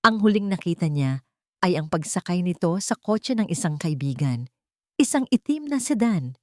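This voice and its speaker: A woman's voice: on the low side, very animated, normal speed, dark tone, dry recording, clean studio sound. A woman narrating a scripted audio drama story.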